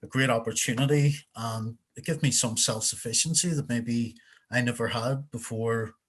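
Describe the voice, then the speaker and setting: A man in his forties with a Belfast accent, answering interview questions in a relaxed, plain-spoken way on a video call. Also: medium pitch, moderate pace, husky. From Northern Ireland. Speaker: interview guest.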